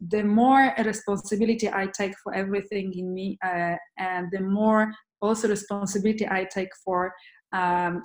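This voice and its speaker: A woman from Poland talks earnestly as an interview guest, often restarting her sentences as she thinks aloud. Her voice sits in the middle of the range, and a roomy echo hangs around it. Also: medium pitch. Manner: earnest, halting with many restarts. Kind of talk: conversational interview.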